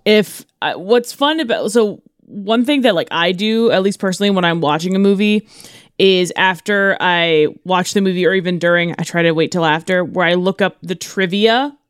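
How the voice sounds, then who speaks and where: A woman chatting casually as a podcast co-host, halting and peppering her talk with "like". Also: on the low side, clear, resonant tone, no room sound, studio quality. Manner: halting, heavy use of "like". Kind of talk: casual chat.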